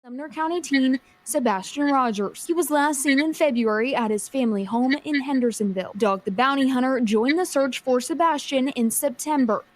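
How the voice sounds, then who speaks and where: A woman's voice: sits high, moderate pace, hardly any pauses. A woman reporter delivering scripted news narration in a formal reading style.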